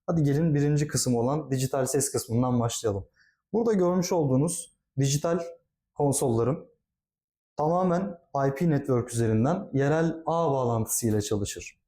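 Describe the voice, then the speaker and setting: A man's voice: mid-range voice, moderate pace, mellow. A man giving a product demonstration as a company presenter, speaking politely and formally, explaining things step by step.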